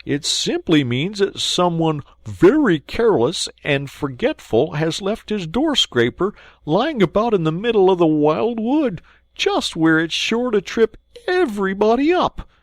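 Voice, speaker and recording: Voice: medium pitch, big pitch swings, measured pace, no echo, studio quality, pitched above the performer's own voice. A reader voicing a fussy, prim male mole who talks in a pettish, lecturing way, in a storybook reading. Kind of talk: storybook read-aloud.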